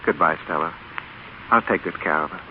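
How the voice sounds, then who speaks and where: A courteous, gentle father acted by a man in an old radio drama. His voice is deep. The broadcast sounds thin and lightly hissy. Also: brisk, phone-quality sound, lightly acted. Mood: tender. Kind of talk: 1930s radio drama acting.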